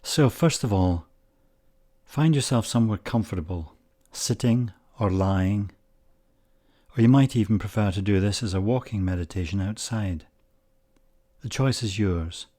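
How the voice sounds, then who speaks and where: Male meditation guide, low-pitched and very unhurried, calm, spacious phrasing. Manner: calm, measured, short phrases with long pauses.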